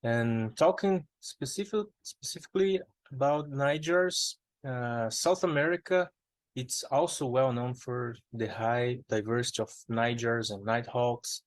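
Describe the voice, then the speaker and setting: Slow, careful Brazilian man presenting. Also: mid-range voice, dry recording. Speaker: ornithologist presenter. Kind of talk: prepared conference talk.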